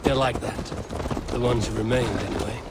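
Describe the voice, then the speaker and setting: A laconic Norse raider from a video game, voiced by a man. The mid-range voice is dry and terse. Loud outdoor noise runs behind it. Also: mid-range voice, steady tone, normal speed, lightly acted. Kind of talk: video game cutscene acting. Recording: heavy outdoor background noise.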